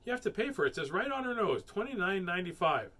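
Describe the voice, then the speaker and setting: A pushy male cashier from a storybook, played by a man. He argues insistently in a raised, forceful voice with big swings in pitch. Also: big pitch swings, fast, full character voice. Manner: insistent, argumentative.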